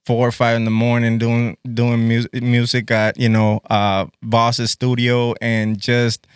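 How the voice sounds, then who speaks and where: A man's voice: mid-range voice, completely flat delivery, unhurried, clean tone, no room sound, studio quality. A man in his forties from Guatemala, chatting on a podcast in a laid-back way and calling everyone "bro" and "man". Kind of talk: casual podcast chat.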